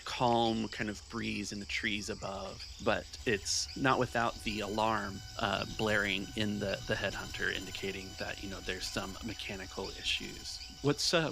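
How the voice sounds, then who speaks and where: A man's voice: on the low side, gravelly, background noise. A man hosting a tabletop roleplaying game as game master, narrating scenes in a descriptive, conversational storytelling way.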